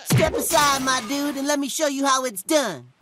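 A woman's voice: high-pitched, normal speed, over loud music, close to an everyday voice. A woman voicing a goofy, brash shapeshifter boy who talks in slang, in a cartoon.

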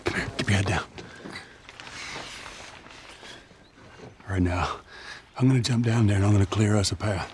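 An actor playing a gruff, guarded middle-aged survivor in a video game, with a Southern US accent and a terse, commanding manner. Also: male voice, on the low side, fast talker, slight background noise, close to an everyday voice. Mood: curt, focused. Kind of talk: video game cutscene acting.

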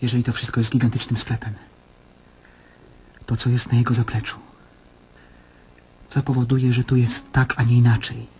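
An actor playing an anguished patient desperately searching for truth, intense and brooding, with a husky voice, in a radio drama. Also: male voice, mid-range voice, level tone, moderate pace, long pauses, a little mellow, slight background noise, natural voice. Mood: brooding, yearning.

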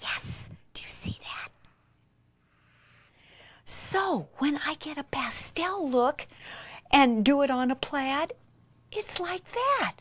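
An excitable, gushing woman giving an enthusiastic crafting tutorial, with breathy exclamations and soft, near-whispered asides. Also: high voice, slow, pauses often, dry recording. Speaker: craft demonstrator and presenter.

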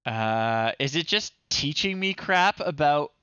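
A man's voice: fairly high pitch, expressive, slow, clear, resonant tone, no echo, muffled recording. A man in his thirties doing casual, wry livestream commentary, chatting to himself and reading screen text aloud as he plays. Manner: wry, chatty, thinking out loud. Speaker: livestream host.

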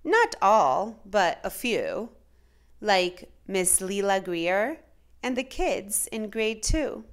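A woman reading a children's picture book aloud in a dramatic, measured, expressive way. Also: mid-range voice. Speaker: children's storytime reader.

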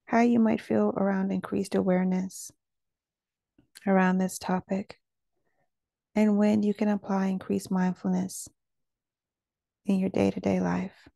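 A woman guiding a meditation in a gentle, calming manner. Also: mid-range voice, measured pace, vocal fry, long pauses, no echo. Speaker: meditation guide. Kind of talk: guided meditation narration.

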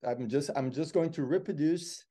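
Animated Brazilian man on a video call. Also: on the high side, normal speed. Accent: Brazilian Portuguese. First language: Portuguese. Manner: expressive, gestures a lot, lively storyteller. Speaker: guest, motivational speaker. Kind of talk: animated interview storytelling. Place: home office with bookshelves.

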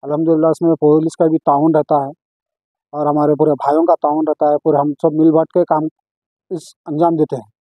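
A middle-aged man, giving a formal, halting statement into news microphones as a local event organiser. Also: a little high, little pitch movement, dry recording, muffled recording. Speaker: organiser of a local religious procession. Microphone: handheld news microphones.

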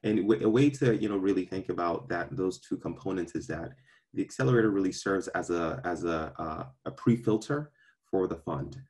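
A young man from Ghana raised in Brooklyn, answering interview questions on a video call from a park, earnest and measured with frequent ums and restarts. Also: deep voice, a little mellow. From born in Ghana, raised in Brooklyn. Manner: earnest, measured, many ums and repeated starts. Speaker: venture capital partner, guest. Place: outdoors in a park. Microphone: video call webcam.

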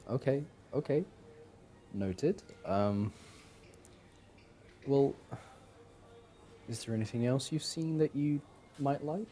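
A young man performing casual ASMR boyfriend roleplay as an easygoing, affectionate partner, talking in a hesitant, halting way. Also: medium pitch, warm tone. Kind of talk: ASMR boyfriend audio roleplay, casual one-sided chat. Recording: clearly audible background noise.

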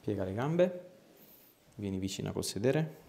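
A man, reassuring and informal as he gives a patient gentle instructions. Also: on the low side, animated, measured pace, gravelly, a little hiss or hum. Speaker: chiropractor. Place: small clinic treatment room. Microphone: clip-on lapel mic.

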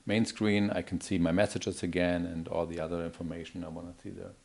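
Low-pitched man giving a product demo. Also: little pitch movement, fairly quick, creaky, darkish tone. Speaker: product demonstrator, account manager. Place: indoor office or showroom.

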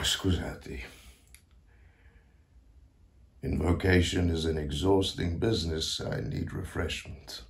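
An actor playing an aged, grandiose Italian composer near death, confiding in a theatrical stage monologue with a creaky voice and self-mocking manner. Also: male voice, low voice. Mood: hushed, sheepish. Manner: theatrical, declamatory, swinging to hushed asides.

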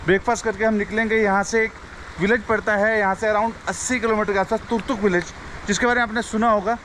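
Excited young man vlogging outdoors. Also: high-pitched, a little hiss or hum. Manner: chatty and excited, keeps saying "yaar". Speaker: travel vlogger. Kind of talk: casual travel vlog narration. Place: outdoors, riding a motorbike through a mountain valley.

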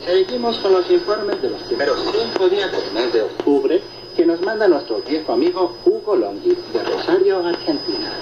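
A man's voice: sits high, big pitch swings, normal speed, few pauses. A man co-hosting a radio program, reading and answering listener reports in a conversational presenting style, heard over shortwave. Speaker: radio host. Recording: noisy shortwave radio reception, muffled, echoey. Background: loud static noise.

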